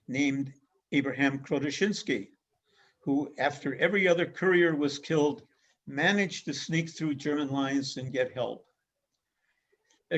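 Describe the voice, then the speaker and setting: A man giving an illustrated history lecture as a guest speaker, speaking in a measured way with frequent pauses and fillers. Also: on the high side. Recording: dull lo-fi recording.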